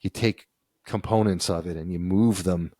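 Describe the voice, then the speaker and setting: Low-voiced man co-hosting a chat. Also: fairly even intonation, normal speed, warm tone, dry recording, studio quality. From United States. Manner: reads passages aloud, then riffs conversationally. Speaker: podcast co-host. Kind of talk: casual chat.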